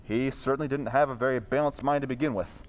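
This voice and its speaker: A man's voice: mid-range voice, steady tone, quick pace, lightly acted. An actor playing an authoritative male crime-fighting vigilante in an old-time radio drama. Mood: calm, explanatory.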